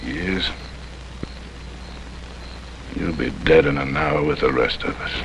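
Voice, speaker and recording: A man's voice: a bass voice, slow pace, creaky, fairly bright tone, full character voice. An actor playing a hard, blunt, captive rebel gunman in an old TV western, taunting with scorn and menace. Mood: scornful. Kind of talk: 1950s TV western acting. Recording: noisy old TV soundtrack, rain-like hiss.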